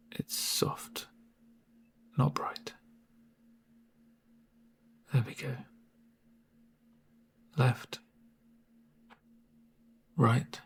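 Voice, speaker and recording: A man playing a calm medic in a soft-spoken ASMR roleplay, gentle and reassuring with dry humour and a breathy, near-whispered voice. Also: medium pitch, exaggerated voice. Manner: gentle, unhurried, reassuring murmur.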